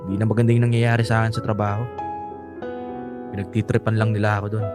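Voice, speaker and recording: A man's voice: on the low side, little pitch movement, quick pace, loud music behind the voice, natural voice. Man acting bitter, insistent young son. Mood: weary, frustrated. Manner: heated, insistent, emphatic. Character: bitter, resentful young adult son, protective of mother. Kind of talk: radio drama acting.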